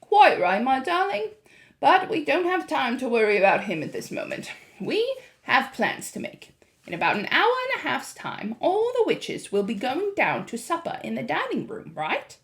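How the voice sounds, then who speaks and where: A woman voicing a firm, affectionate old grandmother in a storybook, speaking in a measured, emphatic way. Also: sits high, huge pitch swings, pitched above the performer's own voice, heavily acted. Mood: brisk, emphatic.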